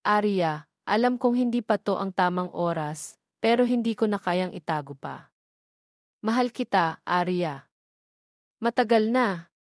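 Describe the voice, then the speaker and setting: A woman's voice: medium pitch, lively intonation, unhurried, long pauses, no room sound. A woman narrator acts out a young suitor in an audio love drama. He is humble, earnest and respectful as he pleads, in a close, dry recording.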